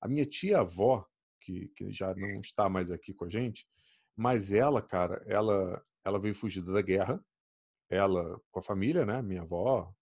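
A man, chatting casually as a podcast co-host, animated and swearing freely. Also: deep voice, normal speed. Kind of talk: casual chat.

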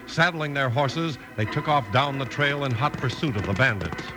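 A man announcing in polished, emphatic 1950s radio style, as in a sponsor pitch or story narration. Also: lively intonation, normal speed, loud music behind the voice.

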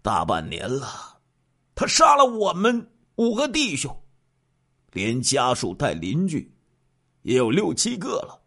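A man voicing a burdened, emotional male police chief in a story, with emphatic, drawn-out delivery. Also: on the low side, very animated, voice pushed down, full character voice. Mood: anguished, tearful.

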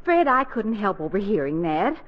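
A woman playing a gentle, pleading middle-aged wife and mother in a 1940s radio comedy. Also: medium pitch, very animated, normal speed, close to an everyday voice. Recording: muffled 1940s radio broadcast recording.

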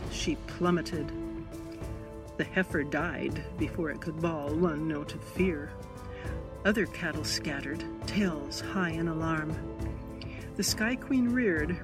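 A woman reading a short story aloud as dramatic, literary narration with deliberate emphasis. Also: on the low side. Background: music under the voice.

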